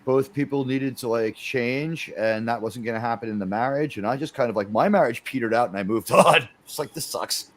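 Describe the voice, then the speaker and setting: A man's voice: medium pitch, a little fast, hardly any pauses. A man hosting a casual livestream chat, given to wry mock-formal pronouncements.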